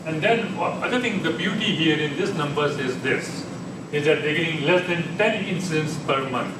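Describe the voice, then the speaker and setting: A man's voice: fairly high pitch, normal speed. A man giving a conversational tech presentation at a meetup, often restarting his sentences. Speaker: conference speaker. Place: meeting room. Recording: echoey room, distant mic, air conditioning hum.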